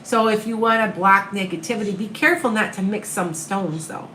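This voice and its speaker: A woman from the American Midwest, with a Midwestern accent, reading tarot live and answering viewer questions in a calm, soothing, reassuring way. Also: mid-range voice, a little fast, echoey room, slight background noise, poor audio. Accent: Upper Midwest American. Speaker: tarot reader and medium.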